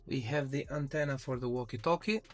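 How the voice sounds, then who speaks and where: A man presenting a casual tech unboxing, explaining things step by step with small hesitant pauses. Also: medium pitch, soft background music. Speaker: tech reviewer presenting his channel.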